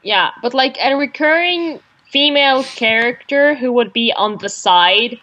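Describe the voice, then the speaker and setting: Young woman, Russian native speaker, bright clear voice, slightly high, chatty and agreeable. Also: expressive, a little slow, highs cut off. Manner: chatty, agreeable, many fillers. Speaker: podcast co-host. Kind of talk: casual fan chat.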